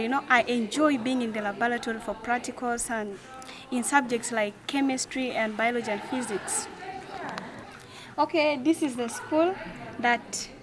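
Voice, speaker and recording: A young woman from South Sudan with a South Sudanese accent, presenting a school tour in earnest, halting phrases on noisy location audio. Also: on the high side. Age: young adult. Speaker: student tour guide. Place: school grounds and rooms, outdoors and indoors.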